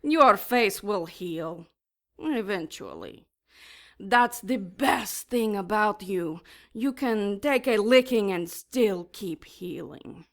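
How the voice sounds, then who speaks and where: A cruel she-demon for a radio play, drawing out every word very slowly. She is mocking and menacing, relishing each threat. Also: medium pitch, sing-song, fairly bright tone, exaggerated voice. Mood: cruel taunting. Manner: slow, mocking, savoring threats. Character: sadistic, taunting female demon, silky and menacing. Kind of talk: audio drama acting.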